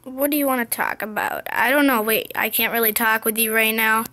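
A young girl commentating her gameplay in a casual, excited, chatty way, her voice slightly scratchy. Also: on the high side, brisk. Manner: excited, chatty, impatient exclamations. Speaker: gameplay video host.

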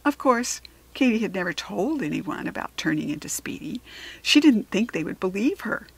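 American lady reading a kids chapter book out loud, expressive, teacher-like. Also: medium pitch, normal speed, husky, no echo, low-quality recording. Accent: standard American. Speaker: storyteller reading aloud. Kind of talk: children's chapter book read-aloud.

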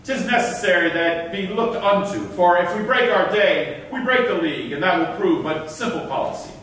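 A man's voice: sits high, normal speed, a bit bright. A man playing a stern, sanctimonious governor in a staged reading of old verse, declaiming pompously. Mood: cold, official. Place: theatre stage in a hall. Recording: echoey hall, distant mic, faint noise.

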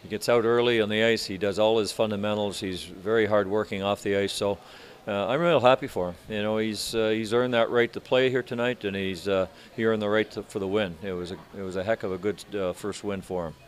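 An older man in his sixties, a hockey coach answering reporters after a game, speaking in a measured, halting way with many restarts. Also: fairly low pitch, fairly even intonation. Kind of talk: postgame press interview. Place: arena media room in front of sponsor backdrop.

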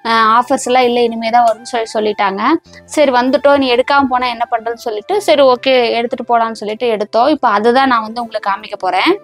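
A young woman vlogger sitting at home and talking to the camera in a casual, chatty way, full of fillers. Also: a little high. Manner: chatty, explains with many fillers. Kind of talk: casual vlog talk to camera. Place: small indoor room at home.

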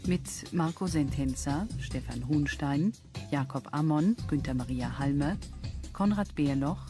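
Woman announcer reading radio play credits, low voice, slow and measured, music behind.

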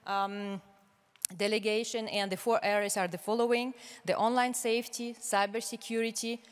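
A young woman opens a conference session. Her voice is smooth and resonant, and she pauses often with fillers as she reads from notes. She speaks into a handheld microphone, and a long reverb hangs behind her in a large hall. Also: mid-range voice. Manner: formal, hesitant with frequent fillers, reading from notes. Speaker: moderator. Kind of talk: conference opening remarks.

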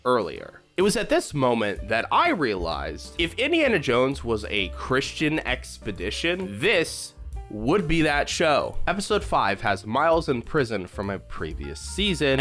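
A man's voice: medium pitch, fairly bright tone. A young man narrating a scripted video review in a casual way with wry asides, close to a studio microphone. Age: young adult. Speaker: YouTube reviewer. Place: small home room, indoors. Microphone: close studio microphone on boom arm.

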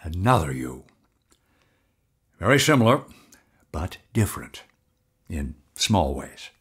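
Very deep, husky, slow-talking man. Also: big pitch swings, a bit bright, exaggerated voice. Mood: grave, hesitant. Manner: hesitant, deliberate, placating. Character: evasive, soothing husband hiding an uncanny secret. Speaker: actor in an audio play. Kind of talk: audio drama acting.

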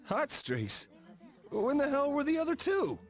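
A man's voice: very high-pitched, wildly swooping pitch, measured pace, exaggerated voice. An actor with an American accent playing an anxious, whiny young man who gets flustered and exasperated in a game cutscene. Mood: anxious, defensive. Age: young adult. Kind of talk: video game cutscene acting.

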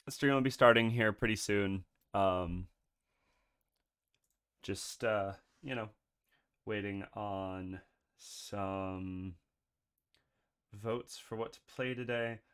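A man's voice: lowish voice, fairly bright tone, clear, resonant tone. A man streaming a game, chatting casually and hesitantly with many ums while he has a cold. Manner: halting, full of ums, nervous laughs. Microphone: desk microphone.

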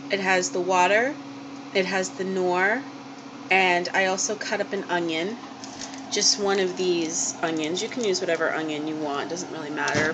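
A young woman filming a casual cooking demonstration in her small kitchen, chatty and unpolished with plenty of ums and restarts. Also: medium pitch. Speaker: home cook vlogger. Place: small home kitchen, indoors. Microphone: handheld camera or phone held close. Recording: home video with background noise.

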